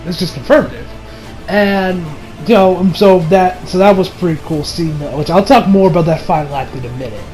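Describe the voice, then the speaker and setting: A young adult man giving a casual, rambling movie review with excitable delivery and frequent swearing. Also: sits high, normal speed, faint music behind, rough recording. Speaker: video reviewer. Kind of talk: casual movie review chat over gameplay.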